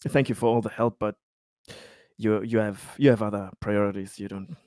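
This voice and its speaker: A man's voice: fairly low pitch, steady tone, moderate pace, darkish tone, clean tone, no room sound, clean studio sound, lightly acted. A man playing an injured, paranoid colonist in a tabletop game, stammering and repeating himself. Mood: uneasy, subdued.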